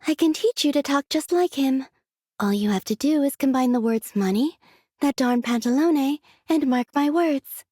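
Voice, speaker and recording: An actress voicing a gentle, aloof young woman in a video game, with a soft breathy voice and unhurried, wistful, quietly curious delivery. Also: high voice, animated, no room sound, studio quality. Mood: innocent curiosity. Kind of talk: scripted game character voice lines.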